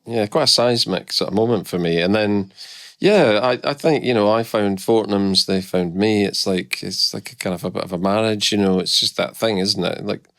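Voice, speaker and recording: A man's voice: lowish voice, normal speed, fairly bright tone, dry recording. A middle-aged man from Scotland with a Scottish accent, a guest talking candidly and reflectively about his career, with hesitant restarts. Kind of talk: casual podcast interview.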